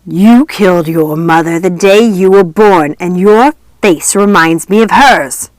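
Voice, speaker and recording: A woman voicing a cruel, hateful ghost of a father in a mirror, spitting out wicked, venomous words slowly in a storybook reading. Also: fairly low pitch, dry recording, lightly acted, poor audio. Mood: hateful, spiteful. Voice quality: horrible, wicked voice. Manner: spitting, slow, venomous delivery.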